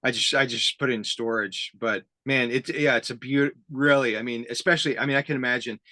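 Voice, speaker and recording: A man with a bright, forward voice and a mid-range pitch hosts a relaxed interview. He talks in a halting, self-deprecating and enthusiastic way. The sound is dry and close, with hardly any room. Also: no room sound. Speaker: interview host. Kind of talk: casual conversational interview about tape gear.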